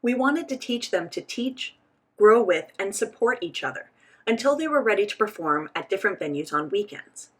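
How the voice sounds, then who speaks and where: Husky-voiced woman interpreting an interview. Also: medium pitch, lively intonation, moderate pace, a bit bright. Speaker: voice-over interpreter for a signed interview. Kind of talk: voicing sign language interpretation for both host and guest.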